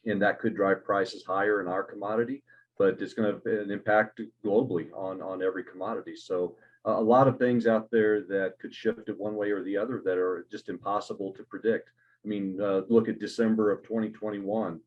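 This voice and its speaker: A man, a uranium company CEO, speaking in a measured, cautious way with frequent fillers on an online panel. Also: lowish voice, fairly even intonation. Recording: dull lo-fi call audio.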